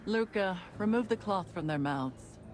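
A woman voicing a cold, ruthless young gang leader who interrogates captives in a slow, measured, commanding way. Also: mid-range voice, animated, close to an everyday voice. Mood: menacing. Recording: game voice with faint music.

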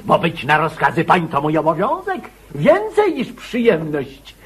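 A man's voice: on the high side, wildly swooping pitch, measured pace, breathy edge, full character voice. A man playing a gallant society dandy with florid, effusive manners in a radio play. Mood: eager, gallant.

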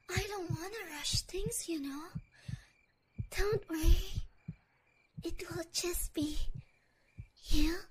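A woman voicing an obsessive, possessive young woman in an audio roleplay, talking in soft whispers with giggles. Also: very high voice, drawn-out words, long pauses, a little hiss or hum, exaggerated voice, rough recording. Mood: sweetly menacing.

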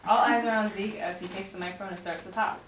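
A woman's voice: medium pitch, steady tone, fast. A young woman reading script stage directions aloud at a table read in a home dining room. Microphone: far camera. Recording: roomy, distant mic, clear background noise.

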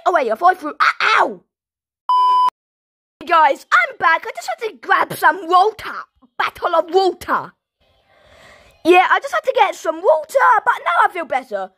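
A young woman giving excitable running commentary on her gameplay, repeating herself and shouting, her throat sore and coughing. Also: very high voice, measured pace, long pauses. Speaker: gaming channel host. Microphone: phone.

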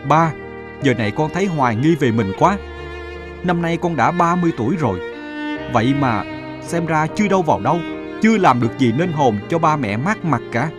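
A man's voice: a little high, animated, fairly quick, music in the background, natural voice. A man voicing an earnest, self-doubting young adult son in a moral-story cartoon. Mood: discouraged. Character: earnest thirty-year-old son, restless and self-doubting.

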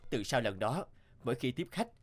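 A man's voice: medium pitch, sing-song, moderate pace, over loud music, natural voice. A man voicing an earnest, humble young male hero in a cartoon, in both dialogue and storytelling. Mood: reflective, sincere.

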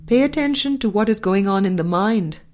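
A woman narrating a spiritual book aloud in a measured, deliberate way, pausing between phrases. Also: mid-range voice, lively intonation, a little mellow. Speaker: audiobook narrator.

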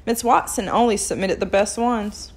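A woman's voice: mid-range voice, moderate pace, a little mellow, lightly acted. Brief: woman reading as a protective young girl in a storybook, earnest and plainspoken.

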